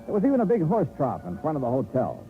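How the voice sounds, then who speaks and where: Wry radio private eye, animated intonation. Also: male voice, on the high side, fast talker. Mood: wry, matter-of-fact. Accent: American. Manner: wry, dry first-person narration. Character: wry, easygoing male private investigator. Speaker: narrator and lead actor. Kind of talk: 1950s radio detective acting. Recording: old tinny radio recording, music behind.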